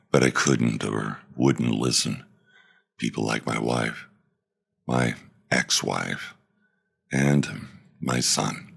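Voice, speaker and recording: A man voicing a remorseful middle-aged former pilot in prison, confessing in a quiet, halting way with a gravelly voice. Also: very deep voice, pitched below the performer's own voice, full character voice. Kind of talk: dramatic monologue read.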